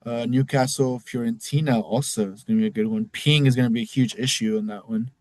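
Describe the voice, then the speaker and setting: A man's voice: medium pitch, steady tone, fairly quick, a little mellow, no room sound. Casual Mexican-accented man rambling. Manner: rambling, loose, cusses casually. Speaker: league podcast host. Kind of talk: casual solo recap talk.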